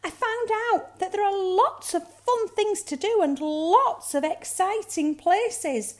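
Woman putting on a high, childlike little bear cub. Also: slow pace. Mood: apologetic, excited. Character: young male polar bear cub, eager and childlike.

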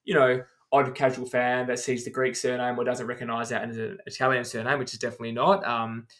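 A man's voice: medium pitch, level tone, fast, a bit bright. A man co-hosting a casual podcast, explaining things at length and running through lists of names with frequent ums. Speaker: podcast co-host.

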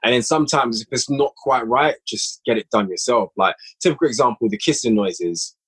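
A man's voice: medium pitch, brisk, slightly rough, poor audio. A man speaking casually as an interview guest on a podcast, an audio drama writer who repeats phrases for emphasis.

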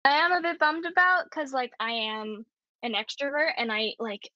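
A young woman with a high, squeaky voice, chatting as a podcast guest, giggly and rambling with many fillers. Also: lively intonation, normal speed, no room sound, lo-fi sound. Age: young adult. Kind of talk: casual podcast chat.

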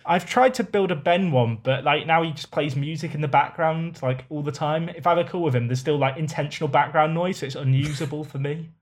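A man with a somewhat high voice chats as a podcast guest. His delivery is fairly monotone and self-mocking. The talk is relaxed. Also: a little fast, few pauses. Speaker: guest content creator. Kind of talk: casual podcast chat.

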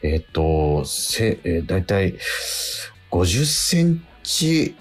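A middle-aged man co-hosting a radio show, chatting casually in an animated, hesitant and self-deprecating way. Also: deep voice, takes it slowly. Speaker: radio show co-host, stage actor. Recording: music bed under the voice.

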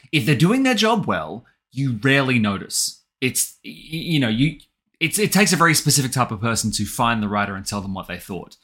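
An Australian man talking reflectively and articulately in an interview, with an animated storytelling way about him. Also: mid-range voice. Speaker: guest author and audio drama writer.

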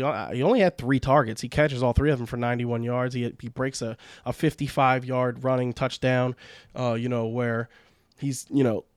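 A congested man with a cold talks through a game recap in a loose, rambling way, stumbling over restarts and leaning on fillers. His voice sits at an ordinary middle pitch. It is recorded close and dry, with no room sound. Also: smooth, no echo. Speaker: fantasy football podcast host. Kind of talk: casual solo sports recap.

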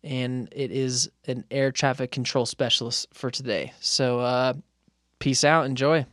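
A man's voice: medium pitch, steady tone, a little slow, fairly bright tone. A man with an American accent hosting a podcast and chatting in a loose, informal, conversational way. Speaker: podcast host and interviewer. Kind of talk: casual podcast chat.

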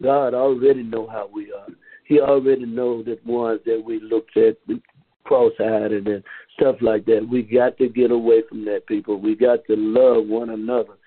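Church member testifying by phone: husky man, earnest and repetitive, tinny line. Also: medium pitch, steady tone, normal speed. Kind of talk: testimony on a church conference call. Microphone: telephone.

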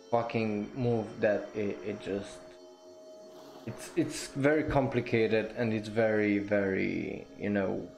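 Man, podcast host, low gravelly voice, slow rambling delivery, fillers and restarts, occasional swearing, faint music behind. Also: a little mellow. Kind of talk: casual history talk.

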